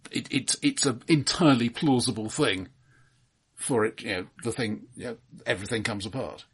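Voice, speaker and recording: A man co-hosting a casual film discussion, speaking in a measured, tentative way and qualifying what he says. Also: mid-range voice, a bit bright, husky. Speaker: podcast co-host discussing films.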